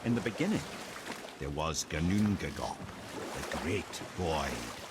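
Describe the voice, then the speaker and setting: An actor playing a wry, learned old storyteller, telling myths in a theatrical cadence. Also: male voice, extremely low pitch, sing-song, unhurried, full character voice. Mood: grand, narrating. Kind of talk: mythic storytelling in game dialogue. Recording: clear boat and water ambience noise.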